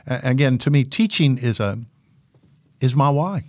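An older man with a big, commanding voice speaks as a guest in an interview. He tells his stories with animated energy, repeating himself and restarting sentences. The recording is close and dry, with no room sound. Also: medium pitch, moderate pace, no room sound. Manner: animated storyteller, repeats words and restarts often. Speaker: guest, former military public affairs officer and instructor. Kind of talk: casual podcast interview.

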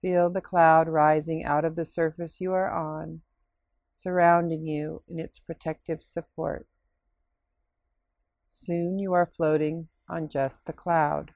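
A woman leading a scripted guided relaxation meditation in a soothing, unhurried, instructing way with pauses. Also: low-pitched, flat delivery, creaky, darkish tone, no echo.

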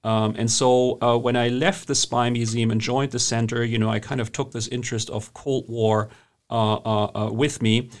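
A man's voice: mid-range voice, little pitch movement, normal speed. A man with a German accent, a guest historian in an interview, speaking conversationally with many hesitations and restarts.

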